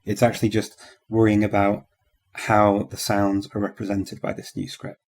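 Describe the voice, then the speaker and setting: Gravelly, husky-voiced male podcast host. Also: on the low side, monotone, normal speed, darkish tone. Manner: conversational, many backchannels. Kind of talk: interview questions.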